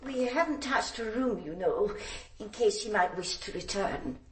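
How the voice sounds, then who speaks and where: A woman's voice: medium pitch, animated, normal speed, roomy sound, a little hiss or hum, close to an everyday voice. An actress playing a prim, fragile adoptive mother in a naturalistic radio drama. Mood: subdued.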